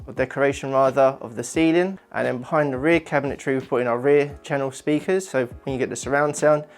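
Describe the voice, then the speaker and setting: A man with a mid-pitched voice walks viewers through an installation project. He explains things informally, like a tradesman presenting his own work on a company channel. Music plays along with his voice.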